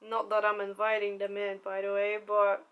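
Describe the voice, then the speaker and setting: A woman's voice: mid-range voice, completely flat delivery, moderate pace, a bit bright, smooth. A young woman talking candidly to camera as a content creator, swearing casually and laughing often.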